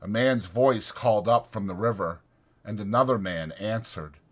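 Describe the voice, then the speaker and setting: A man narrating an audiobook dramatically, in a measured and deliberate way. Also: lowish voice.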